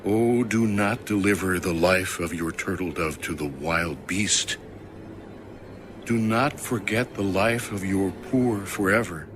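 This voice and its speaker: A man reading scripture aloud in a solemn, formal, measured and dramatic style, as an audio Bible narrator. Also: sits low, noisy background. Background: background music.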